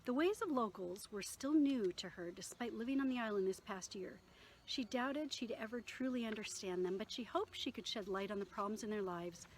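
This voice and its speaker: A woman reading her own novel aloud in an expressive storytelling manner, with occasional small stumbles. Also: medium pitch, normal speed, a little hiss or hum, rough recording.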